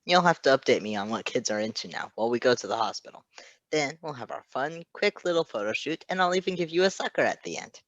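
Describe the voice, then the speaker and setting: A man's voice: medium pitch, animated, fast, a bit bright, no room sound, lo-fi sound, lightly acted. Man voicing a sassy, flippant young nurse, dry close sound. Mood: casual, upbeat. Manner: drawling, teasing, breezy. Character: sassy, flippant, slangy male nurse in his thirties. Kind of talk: audiobook-style character reading.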